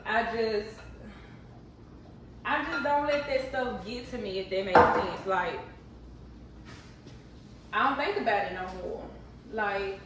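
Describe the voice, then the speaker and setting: A woman's voice: fairly high pitch, fairly bright tone, heavy reverb, distant mic, a little hiss or hum, rough recording. A woman, chatting candidly and animatedly to her viewers while cooking in her kitchen. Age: 30s. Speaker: vlogger. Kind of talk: casual chat while cooking. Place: home kitchen.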